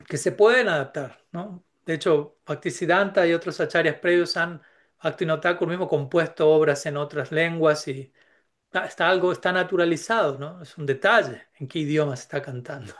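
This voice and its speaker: A man speaking in a reflective, searching way about spiritual matters. His voice sits a little high for a man. He often pauses, restarts and checks in with his listeners through short rhetorical questions. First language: Spanish. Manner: thoughtful, many restarts and tag questions.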